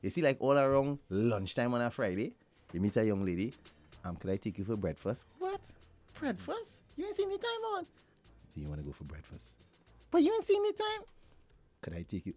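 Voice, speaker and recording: Lively Trinidadian radio co-host, a man. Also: mid-range voice, fairly quick, pauses often, faint music behind. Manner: playful, teasing, swings his voice wildly when joking. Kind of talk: morning radio banter.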